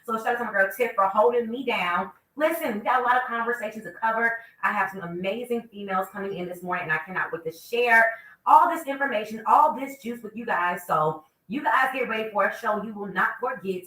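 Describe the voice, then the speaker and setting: A woman's voice: medium pitch, reverberant, distant mic, poor audio. A woman hosting a morning radio show, chatty and upbeat. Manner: upbeat, chatty, lots of shout-outs. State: stuffy from a cold. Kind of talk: casual morning radio talk.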